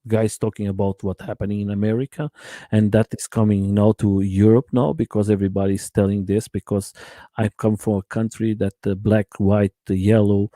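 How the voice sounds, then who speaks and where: A man's voice: fairly low pitch, little pitch movement, mellow, no room sound. Man hosting a live chat. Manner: halting non-native English, many uh fillers. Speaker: channel host interviewer. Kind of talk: live-stream interview chat.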